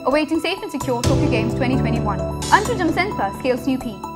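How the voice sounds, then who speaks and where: Fast-reading young woman news anchor. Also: sits high, loud music behind the voice. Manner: brisk, even headline delivery. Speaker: student news reader.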